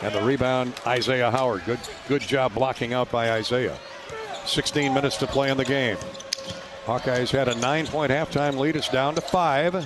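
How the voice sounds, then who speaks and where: A man's voice: mid-range voice, steady tone, moderate pace. An American man working as a radio announcer, giving live play-by-play commentary of a basketball game. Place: basketball arena.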